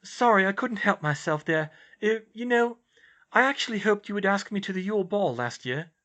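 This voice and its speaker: A man's voice: sits high, expressive, moderate pace, bright, forceful tone, voice pushed up. A man voicing an earnest, brainy teenage girl in a story, flustered and prone to babbling. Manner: stammering, babbling, indignant bursts.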